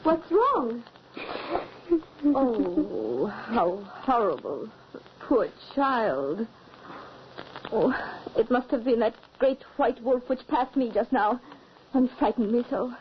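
A cold, sinister stepmother in an old radio drama. The voice is fairly high and theatrical, full of false sympathy. The sound is muffled with faint noise. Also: lively intonation, takes it slowly, long pauses. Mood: feigned horror. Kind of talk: 1940s radio drama acting. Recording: muffled, noisy 1940s radio recording.

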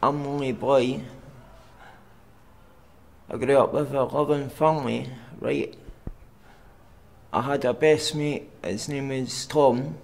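A young man with a Glaswegian Scottish accent, giving personal testimony for a documentary in a halting, plain way with pauses and fillers. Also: medium pitch, fairly even intonation, creaky. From Scotland. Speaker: documentary interviewee. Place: outdoors on a city street at night.

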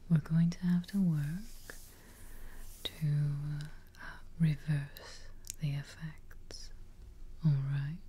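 Very slow, whispering young woman. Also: low voice. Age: young adult. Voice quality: breathy whisper. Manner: gentle, soothing, reassuring, unhurried. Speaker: ASMR roleplayer as caretaking witch. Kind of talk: soft-spoken ASMR roleplay. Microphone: close microphone. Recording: faint background noise, soft ambience.

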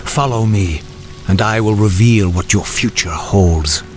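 An actor playing a solemn old prophet in a fantasy game, speaking in a grave, portentous, measured way. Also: male voice, on the low side, over loud music, natural voice. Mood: solemn, urgent. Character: mysterious old male prophet, solemn and foreboding. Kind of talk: dramatic fantasy game voice acting.

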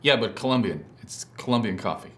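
A man's voice: lowish voice, animated, fairly quick, a bit bright. An actor playing a defensive, easily wounded adman brother in naturalistic screen comedy. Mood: eager. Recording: faint background noise.

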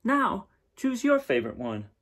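A man's voice: high-pitched, wildly swooping pitch, a little slow, a bit bright, pitched above the performer's own voice, heavily acted. A man voicing an opinionated, bossy little farm girl from a storybook, emphatic and matter-of-fact. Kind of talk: storybook read-aloud.

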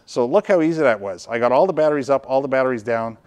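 A man's voice: medium pitch, fast, a little mellow, clean tone. Middle-aged man presenting a product demo. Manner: explanatory, conversational. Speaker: product reviewer and video host. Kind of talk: casual product demonstration. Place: indoor studio workshop at a tabletop.

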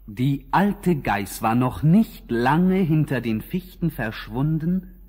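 A man narrating a scripted fairy tale as a calm, measured storyteller. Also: a little high, slight background noise.

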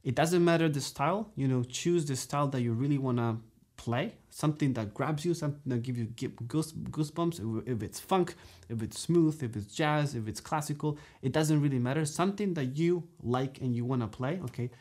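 Guy in his 30s doing a sax lesson to camera, mid pitch, animated, says okay? a lot, studio room. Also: fairly quick. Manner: animated, gesturing, frequent "okay?" and "you know" tag questions. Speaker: saxophone teacher. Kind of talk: direct-to-camera music lesson. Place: dark studio room, indoors.

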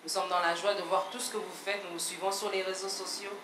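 A woman's voice: medium pitch, little pitch movement, fast. A woman, the pastor's wife, giving an earnest, prayerful greeting to the congregation on a handheld mic. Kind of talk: church greeting. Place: large church hall, indoors. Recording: echoey church hall, noisy.

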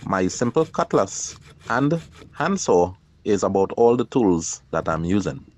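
A middle-aged man, calmly narrating his own kayak outing in a measured, explanatory voice-over. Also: low-pitched. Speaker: kayaker and channel host.

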